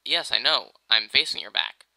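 An amateur performer voicing a whiny, exasperated boy hero with a sarcastic, halting, casual delivery in a video game parody. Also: medium pitch, expressive, sharp tone. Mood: sarcastic, awkward.

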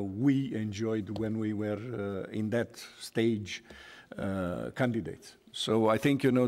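A man's voice: fairly low pitch, darkish tone. A middle-aged man making conversational panel remarks at a desk microphone in a large conference hall. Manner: conversational, with frequent "you know" and restarts. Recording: long hall reverb.

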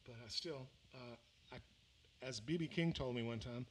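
Brief: older Southern-raised male musician, loose rambling stage banter, mid-pitched voice, faint room hum behind. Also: moderate pace. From Mississippi, raised in Louisiana. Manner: rambling, relaxed, full of fillers and restarts. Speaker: musician performing a livestream. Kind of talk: casual between-song chat. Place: small music venue, indoors. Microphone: vocal mic on a stand.

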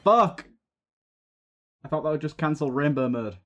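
A man's voice: fairly high pitch, lively intonation, normal speed, lo-fi sound. A man hosting a gaming video with casual, sweary, grumbling commentary.

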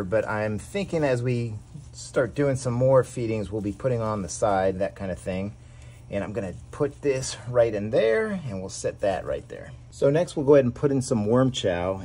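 Male host, husky voice, easygoing how-to delivery on an outdoor porch. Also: medium pitch. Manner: relaxed, explains each step as he works. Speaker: worm farming channel host. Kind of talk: casual how-to demonstration. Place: outdoor covered back porch.

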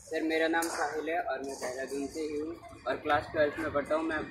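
A man's voice: on the high side, level tone, quick pace, loud music behind the voice. A young man, a student athlete, briefly introduces himself. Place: outdoor school sports ground. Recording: distant, very noisy outdoor phone video.

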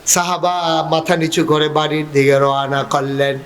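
High-pitched, slow-paced Bangladeshi male preacher. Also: fairly even intonation, fairly bright tone. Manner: emotive, half-chanted delivery with drawn-out phrases. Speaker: Islamic preacher. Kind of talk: impassioned waz sermon, dramatic religious storytelling.

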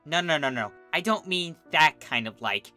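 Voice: mid-range voice, animated, normal speed, bright, forceful tone. A story reader voicing a brash but nervous young female pegasus pony, casual and stammering. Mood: flustered, earnest. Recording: music behind the voice.

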